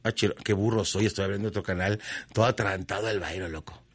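A man's voice: on the low side, fast. A man with a Mexican accent hosting a radio show, reading listener messages and teasing them with jokes. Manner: joking, teasing, lively banter. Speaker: radio host. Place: radio studio.

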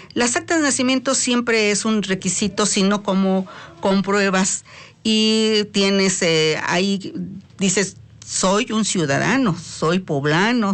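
Chatty Mexican woman radio host. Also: medium pitch. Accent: Mexican Spanish. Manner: chatty, drawn-out words, frequent "eh" fillers. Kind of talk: live talk radio.

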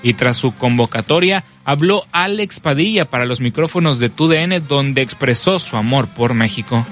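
A man reading a scripted sports news bulletin as the anchor, in a brisk, measured newsreader delivery with time checks. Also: mid-range voice, lively intonation, a bit bright, soft background music.

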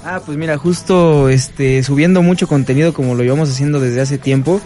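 A man's voice: a little high, fairly even intonation, brisk, warm tone. A man, a cumbia band member, explaining in a casual, slangy, rambling way on radio. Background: faint music.

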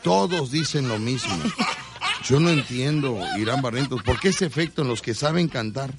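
A man's voice: mid-range voice, animated, moderate pace, heavy background noise. A man with a Mexican accent hosting a live radio show, joking and teasing his guests. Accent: Mexican Spanish. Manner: teasing and jokey, often laughing mid-sentence. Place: radio studio.

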